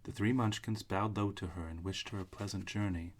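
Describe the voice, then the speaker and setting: A man's voice: low-pitched, fairly even intonation, fairly quick. A man narrating a storybook aloud, reading straight from the text.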